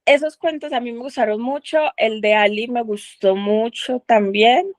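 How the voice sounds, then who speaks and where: A woman's voice: a little high, moderate pace, no echo, studio quality. A woman in a book club, talking through her thoughts in a rambling way with many fillers and restarts. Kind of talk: casual group discussion.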